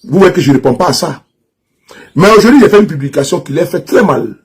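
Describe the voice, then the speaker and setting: Husky high-voiced Ivorian man ranting. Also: animated, fast talker. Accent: Ivorian French. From Ivory Coast. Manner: repetitive, insistent, mocking. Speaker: social media commentator. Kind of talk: direct-to-camera political rant.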